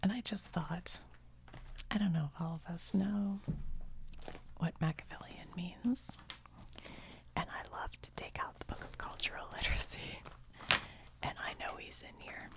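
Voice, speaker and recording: Low gravelly whispering woman. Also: unhurried, long pauses. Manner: gentle, chatty, laughs softly, trails off. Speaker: video creator. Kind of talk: soft-spoken ASMR whispering.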